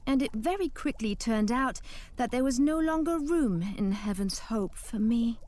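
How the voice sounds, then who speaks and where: An actress voicing a calm, friendly witch who lives alone in the woods, in a game cutscene. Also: on the high side, normal speed, close to an everyday voice. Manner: calm, explaining. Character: young woman, a hermit witch, calm and friendly.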